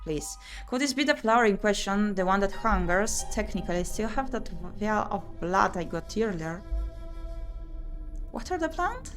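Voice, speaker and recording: A woman streams a visual novel, reading its narration aloud and commenting as she goes. Her voice sits at a middling pitch and her delivery is expressive, given to exclaiming and muttering to herself. Music is clearly audible behind her. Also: normal speed.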